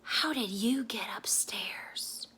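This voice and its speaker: A woman voicing a puzzled, gruff night watchman in a storybook reading. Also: on the high side, slow pace, bright, forceful tone, in a whisper, pitched above the performer's own voice, rough recording.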